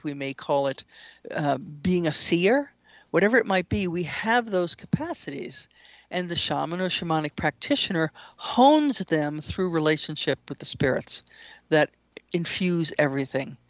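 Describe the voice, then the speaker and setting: A woman giving explanatory answers as an interview guest, in a measured, deliberate way with pauses. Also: low-pitched, no echo, muffled recording. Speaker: guest author and shamanic practitioner.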